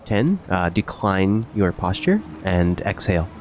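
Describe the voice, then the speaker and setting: A man giving mock-serious, measured golf-style commentary on a video game. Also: sits low, big pitch swings, background noise. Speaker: streaming show co-host commentating.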